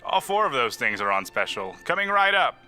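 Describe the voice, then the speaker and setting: Animated, sharp-voiced old diner man. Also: mid-range voice, a little fast, soft background music. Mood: playful, fond. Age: older. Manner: playful, warmly familiar. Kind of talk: audio drama acting.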